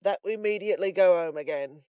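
A man voicing a fat, pompous, cowardly head servant in an audiobook, self-important and halting. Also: sits high, a little mellow, clear, resonant tone, dry recording, natural voice, low-quality recording. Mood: pompous unease.

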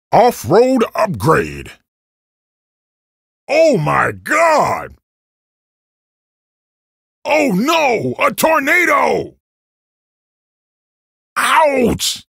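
A gravelly, hyped arcade race announcer. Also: female voice, fairly high pitch, no room sound, clean studio sound, heavily acted. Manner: energetic shouted exclamations. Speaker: game announcer. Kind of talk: excited arcade racing callouts.

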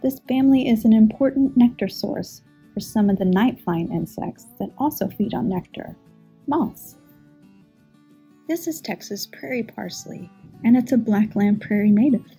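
A middle-aged woman, a garden manager, narrating a scripted plant tour in an informative, measured way. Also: fairly high pitch, expressive, vocal fry, mellow, breathy edge, soft background music.

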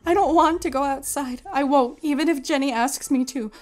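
An actress plays a woman losing her mind in confinement, in a very high voice. She confides in the listener theatrically, with secretive, childlike obsession, as in an audio drama monologue. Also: moderate pace, darkish tone, natural voice. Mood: dreamy, obsessive.

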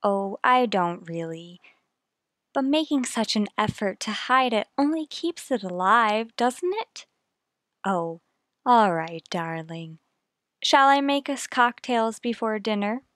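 A woman playing a composed, affectionate wife in a radio mystery, measured and soft-spoken. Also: medium pitch, animated, no echo, studio quality. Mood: calm, fond.

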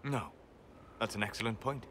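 A man playing a calm, reserved, scholarly elf mage in a video game, speaking formally in deliberate, measured phrases. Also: fairly low pitch, slight background noise, lightly acted. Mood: earnest, thoughtful. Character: calm, scholarly, reserved elven mage, admiring yet condescending. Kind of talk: video game dialogue acting.

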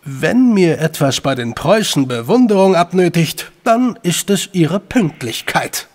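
An actor playing a self-satisfied, urbane British government gentleman in an audio drama, with formal speech. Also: sits high, expressive, measured pace. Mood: smug.

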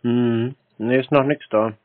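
A man's voice: mid-range voice, fairly even intonation, measured pace. A man in a casual phone conversation, answering briefly and noncommittally with lots of small hums. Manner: laconic, noncommittal, brief answers with many "mhm"s. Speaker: call recipient, customer. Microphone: landline telephone. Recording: dry but tinny landline phone audio.

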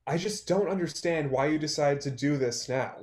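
A man's voice: on the high side, normal speed, a little mellow, lightly acted. A young man playing a bitter, heartbroken songwriter, curt and guarded, in a play read over video call. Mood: weary, guarded. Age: young adult. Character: Liam, bitter, heartbroken male songwriter, guarded toward former love. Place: plain-walled room at home, indoors.

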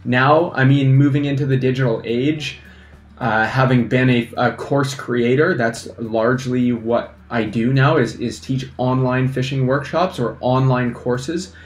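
A young man, a fly fishing instructor, giving energetic casual advice to camera at a desk microphone, often checking in with "right?". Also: medium pitch, measured pace, reverberant, soft background music. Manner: energetic, animated hand gestures, lots of "right?". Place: small indoor studio against a plain backdrop. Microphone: desk microphone in front.

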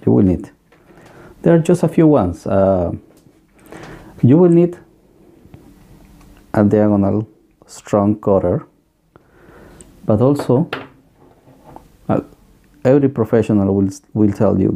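Gravelly, dark-voiced man explaining workshop gear. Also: on the low side. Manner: halting, plain advice with many fillers and restarts. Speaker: electronics workshop host. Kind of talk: casual hands-on equipment walkthrough. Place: electronics workbench room, indoors.

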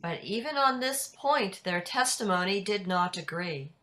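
Older woman narrating a bible story on a video call, slow n careful, really bright clear tone. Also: mid-range voice, lively intonation. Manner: measured, deliberate reading. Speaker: narrator in a church dramatic reading. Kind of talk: scripted scripture narration. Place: indoors at home on a video call. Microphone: video call computer microphone.

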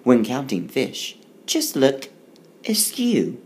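A male teacher recorded over a tablet screencast, reading rhymes aloud in a slow, unhurried way. His pitch swings widely as he reads, then settles as he explains the counting. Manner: slow, sing-song rhyming read-aloud with lively pitch. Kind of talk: reading a picture book aloud and explaining math.

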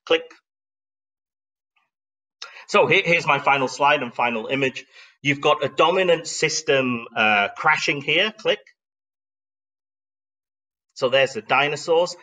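A man's voice: fairly high pitch, slow, long pauses, bright, forceful tone, far from the mic. A middle-aged man giving a live online slide talk in an explanatory, conversational way, thoughtful and emphatic, often posing questions. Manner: reflective, emphatic phrasing with rhetorical questions. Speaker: speaker presenting an online talk.

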